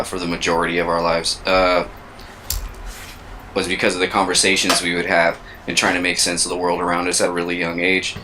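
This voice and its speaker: A man's voice: sits low, background noise. A man in his thirties co-hosting a casual chat, thinking aloud in a reflective way and searching for his words. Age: 30s. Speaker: co-host.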